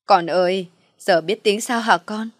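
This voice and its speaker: A woman's voice: mid-range voice, a little slow, no echo, lightly acted. Woman plays frantic middle-aged mother, imploring and emotional, audio drama, dry sound. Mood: distraught. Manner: anxious, imploring.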